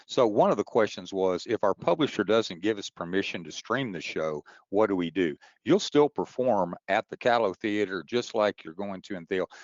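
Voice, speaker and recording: Guy with a low voice running webinar q&a, informal, kinda muffled dry sound. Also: no room sound. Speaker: webinar moderator. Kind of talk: informal moderated Q&A. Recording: dull, dry webinar audio.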